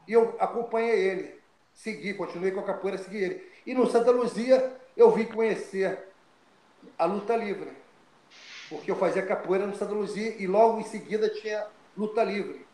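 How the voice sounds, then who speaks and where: An older man from Brazil, telling stories of his start in fighting as an interview guest, animated and often restarting his words. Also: high voice, moderate pace, echoey room, poor audio. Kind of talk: storytelling interview.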